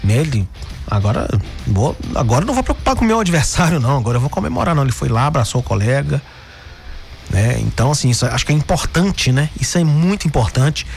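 A man from Brazil hosts a sports radio show and discusses football in a loose, chatty flow, often checking in with his listeners. His voice sits at a middle pitch, and faint music plays beneath him. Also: big pitch swings, fast, vocal fry. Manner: chatty, frequent "né?" tags and restarts.

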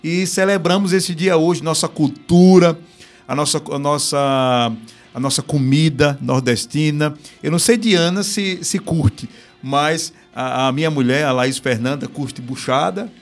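Northeastern Brazilian male host, pitched a bit high, chatty live-stream energy. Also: a little slow, faint music behind. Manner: lively and chatty, with playful regional exclamations. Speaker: host of a live business talk show. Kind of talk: casual live-stream chat.

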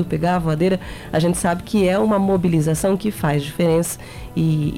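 A woman with a São Paulo accent, a campaign guest answering interview questions in an earnest, measured way. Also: lowish voice, mellow. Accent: São Paulo Portuguese. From São Paulo, Brazil. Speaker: guest, education campaign member.

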